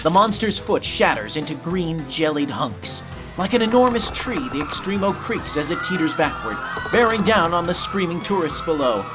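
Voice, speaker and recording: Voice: mid-range voice, big pitch swings, normal speed. A narrator delivering dramatic scripted narration in a vivid, theatrical manner for an audio drama. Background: music.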